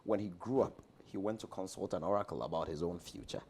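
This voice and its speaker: A Ghanaian man with a low voice speaks as an interview guest who directs theatre. He talks very quickly and emphatically, often repeating a phrase to drive it home. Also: a little mellow, slight background noise. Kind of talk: animated promotional interview chat.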